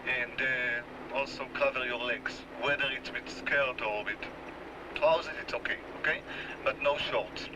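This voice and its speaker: Joking Israeli tour guide on a bus. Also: male voice, medium pitch. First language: Hebrew. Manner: joking, chatty, explains slowly. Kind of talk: tour bus welcome talk. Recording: distant home video, road noise.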